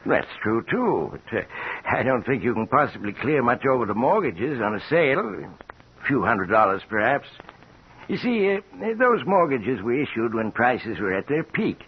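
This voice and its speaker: An actor playing a hesitant, coolly polite loan officer in 1950s radio drama style, on a muffled, hissy old recording. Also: male voice, mid-range voice, very animated, moderate pace, natural voice. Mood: politely dismissive. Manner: hesitant, hemming and hawing.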